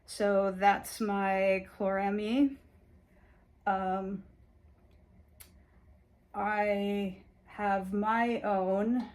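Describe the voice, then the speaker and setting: A woman's voice: medium pitch, flat delivery, drawn-out words, pauses often, a little mellow. A woman whose first language is Hungarian, casually showing her stitching projects in a rambling chat full of fillers and restarts. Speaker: cross-stitch vlogger. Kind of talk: casual show-and-tell chat.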